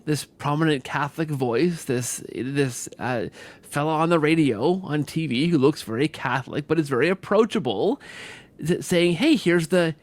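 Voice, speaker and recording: Higher-pitched man, halting conversational podcast host. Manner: halting, repeats words, often adds "right?". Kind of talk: conversational interview discussion.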